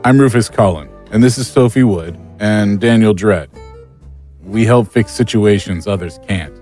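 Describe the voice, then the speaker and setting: A man's voice: fairly low pitch, normal speed, darkish tone, faint music behind, natural voice. A man playing a straightforward paranormal investigator in an audio drama. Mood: practical, questioning. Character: straightforward male member of paranormal investigator team.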